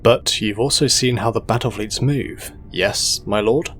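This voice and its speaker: A man as a low-voiced, deferential fleet officer. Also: measured pace, a bit bright. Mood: respectful, probing. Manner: formal, respectful, questioning. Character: deferential, loyal male junior fleet officer. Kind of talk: dramatic audio story character. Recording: music behind the voice. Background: music.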